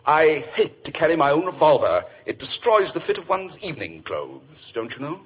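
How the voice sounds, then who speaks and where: An actor playing a confident, urbane British detective with a precise, theatrical manner in 1940s radio drama style. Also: male voice, on the high side, very animated, moderate pace. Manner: precise, theatrical, self-assured. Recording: dull vintage radio recording with hiss.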